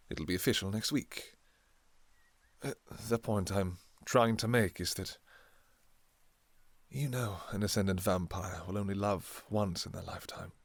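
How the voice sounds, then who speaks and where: An ancient vampire king voiced by a man, tender and devoted to his family. He speaks very slowly in a lowish voice, soft and halting, full of endearments, as in an intimate romantic audio roleplay. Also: no room sound. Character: tender, devoted two-thousand-year-old vampire king, doting father.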